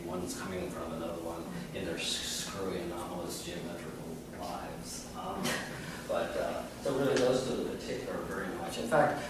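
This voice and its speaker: A middle-aged man giving an informal artist talk in a small gallery, chatty and animated, joking and restarting sentences. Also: low-pitched. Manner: chatty and animated, gestures a lot, jokes and restarts. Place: small art gallery, indoors. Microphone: far camera. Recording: echoey room from far off, noisy background.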